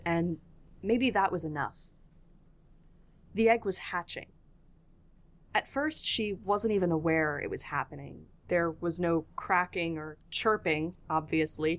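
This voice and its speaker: Lowish-voiced woman narrating an audio drama. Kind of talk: scripted storytelling narration with playful asides.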